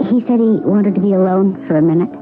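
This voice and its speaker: An actress playing a sensitive, anxious showroom assistant in a vintage radio drama, hesitant and nervously pleading. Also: female voice, medium pitch, quick pace, lightly acted. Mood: uneasy. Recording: tinny old radio broadcast, loud music behind.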